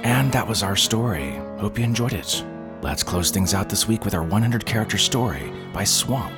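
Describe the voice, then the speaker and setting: A man's voice: lowish voice, lively intonation, normal speed. A man hosting a fiction podcast, reading a scripted sign-off. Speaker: podcast host. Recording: music playing behind the voice.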